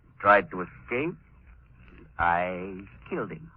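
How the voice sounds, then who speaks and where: Menacing witchman villain, low husky voice, theatrical gloating delivery, 1940s radio drama, muffled old recording. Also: lively intonation, slow, full character voice. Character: menacing male villain, self-styled island witchman.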